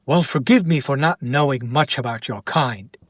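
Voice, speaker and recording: A man playing a sardonic, teasing demon in an intimate roleplay audio drama, speaking deliberately and directly to the listener. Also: medium pitch, slightly rough, no echo, studio quality, natural voice. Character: male demon buyer, sardonic, teasing, curious about his captive.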